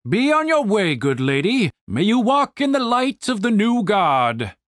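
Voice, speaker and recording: A narrator voicing a stern, righteous male commander with a booming, formal and pompous manner in an audiobook. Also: big pitch swings, a little slow, dry recording, clean studio sound.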